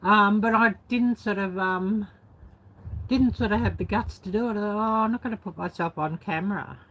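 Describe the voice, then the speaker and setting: A woman's voice: mid-range voice, normal speed, a bit bright, poor audio. A woman vlogger reminiscing in a casual, unscripted way, rambling and colloquial, with lots of filler phrases. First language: English. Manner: rambling, colloquial, peppered with "you know" and "sort of". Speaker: YouTube vlogger.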